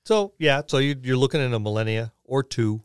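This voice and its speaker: A man's voice: mid-range voice, a little fast, clear, resonant tone, no echo. Man musing casually, in a dry room. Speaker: podcast co-host. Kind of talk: casual tabletop gaming discussion.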